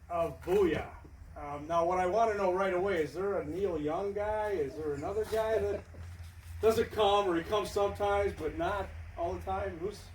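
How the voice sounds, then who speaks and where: A male host leads a relaxed interview with a jokey, upbeat manner and a voice that sits a little high. The sound is roomy and echoey, and he is picked up from some way off the mic. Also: a little hiss or hum. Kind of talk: casual interview. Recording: echoey room, distant mic.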